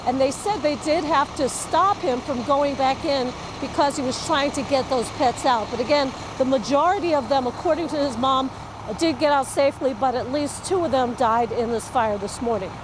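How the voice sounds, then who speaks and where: A woman's voice: high-pitched, moderate pace. A woman with an American accent giving a live, partly ad-libbed news report as a field reporter outdoors. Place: outdoors in a residential neighborhood. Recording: noisy outdoor street with vehicle sounds.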